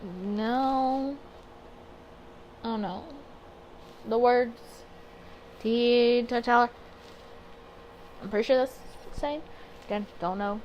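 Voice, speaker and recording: A woman's voice: on the high side, takes it slowly, pauses often, lo-fi sound, slight background noise. A woman hosting a visual novel playthrough, reading aloud and commenting to herself, sometimes stumbling over words. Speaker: gaming video host.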